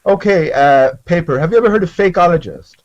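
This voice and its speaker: Irish guy on a voice chat, slightly high voice, defensive, arguing, muffled lofi audio. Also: lively intonation, brisk. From Ireland. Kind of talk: heated casual argument in voice chat. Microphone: online voice chat.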